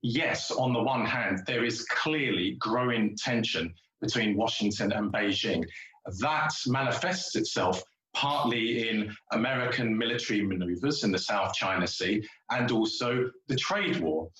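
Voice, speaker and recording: A man giving political commentary as a panelist in formal, deliberate phrases. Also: on the low side, fairly bright tone. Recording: echoey room.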